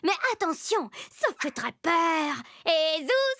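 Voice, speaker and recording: A woman's voice: extremely high pitch, big pitch swings, slow, noisy background, full character voice. A woman playing a bubbly, playful little girl who tells stories to young viewers in a children's cartoon. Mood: mock-spooky. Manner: chatty, playful, addresses the listener directly. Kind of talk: animated children's storytelling, talking to the viewer. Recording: loud music behind the voice.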